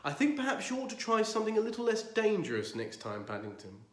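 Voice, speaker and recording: A man's voice: high voice, huge pitch swings, fast talker, voice pushed up. A man voicing a cheerful, good-humoured middle-aged father. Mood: amused. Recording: echoey room.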